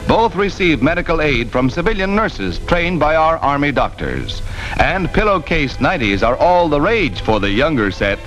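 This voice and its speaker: A man's voice: fairly high pitch, big pitch swings, moderate pace, fairly bright tone, low-quality recording. An American man reading a scripted 1940s newsreel in a dramatic, declamatory manner, on a vintage soundtrack. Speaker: newsreel narrator. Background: orchestral music.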